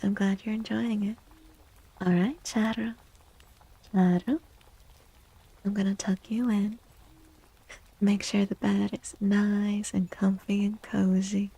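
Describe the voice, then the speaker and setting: A woman streaming soft-spoken ASMR, gently chatting in a breathy, often whispery voice and softly repeating phrases in a soothing way. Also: mid-range voice, low-quality recording.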